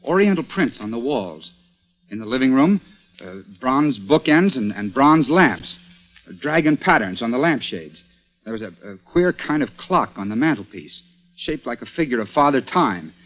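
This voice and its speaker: An excitable young man, stubborn and emphatic, with a mid-pitched voice. He sounds like classic radio drama on a dull old recording. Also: moderate pace. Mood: urgent. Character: agitated, stubborn young nephew, insistent man. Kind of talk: vintage radio drama acting.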